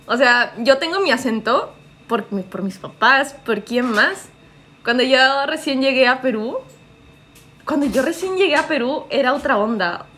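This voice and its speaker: A woman's voice: sits high, lively intonation, normal speed, a bit bright, a little hiss or hum. A young woman from Japan chatting casually on a live stream in a small living room, laughing often and reading out viewer comments. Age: young adult.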